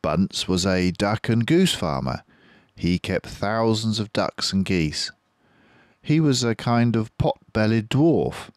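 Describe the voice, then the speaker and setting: A man's voice: on the low side, expressive, slow pace, no room sound, clean studio sound. An older man reading a children's story aloud as a storyteller. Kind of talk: children's audiobook narration.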